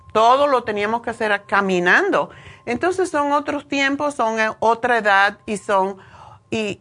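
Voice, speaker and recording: A woman's voice: fairly high pitch, fairly bright tone. A woman from Cuba in her sixties with a Cuban Spanish accent, giving an informal, opinionated health talk with many hesitations. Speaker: nutrition show host.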